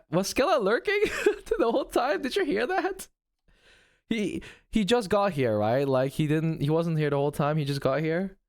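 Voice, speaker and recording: A man live-streaming, chatting casually with his viewers, thanking subscribers and rambling with laughs and restarts. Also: high-pitched. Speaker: livestreamer.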